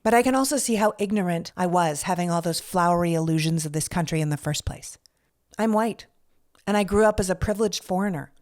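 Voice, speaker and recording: A woman's voice: lowish voice, a little fast, a little mellow. Middle-aged woman from Canada, podcast host, earnest scripted monologue, blunt and a little profane. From Canada, Toronto. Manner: earnest, candid, with casual swearing and rhetorical questions.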